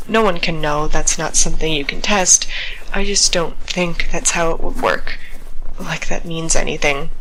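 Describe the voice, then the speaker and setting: A woman acting an anxious, slow-spoken stranded young explorer. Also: on the low side, pushed and bright, heavily acted, low-quality recording. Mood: resigned, doubtful. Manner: hesitant, halting, talking to herself. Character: stranded young female student explorer, anxious, self-doubting. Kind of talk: audio drama monologue, recorded log.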